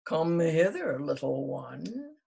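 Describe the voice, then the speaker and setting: A woman voicing a sly, menacing crocodile in a storybook, with a drawn-out, coaxing delivery. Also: low voice, sing-song, vocal fry, mellow, breathy edge, exaggerated voice.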